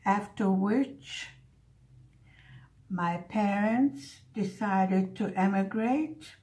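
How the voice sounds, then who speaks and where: A woman in her seventies whose first language is Hungarian speaks at a slow, unhurried pace. Her voice sits at an average pitch for a woman. She reminisces casually, pausing between phrases, in the manner of a relaxed craft vlog. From born in Hungary, grew up in the USA. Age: 70s. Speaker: craft vlogger.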